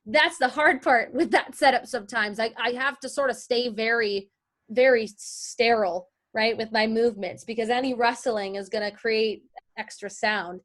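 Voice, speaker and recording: A woman's voice: a little high, moderate pace, a bit bright. A woman teaching an informal online lesson, explaining and answering questions in a conversational way. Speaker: voice-over artist teaching a lesson.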